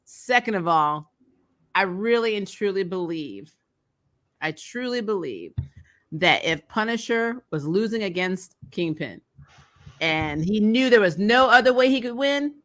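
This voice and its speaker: A woman's voice: medium pitch, lively intonation, unhurried, fairly bright tone. A woman hosting a casual chat with viewers, speaking informally and with emphatic opinions. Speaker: host.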